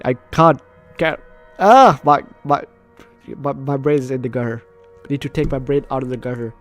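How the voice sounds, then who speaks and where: Informal man reading game story over music. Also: fairly high pitch. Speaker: gaming video host. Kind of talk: visual novel let's play, reading narration aloud with asides.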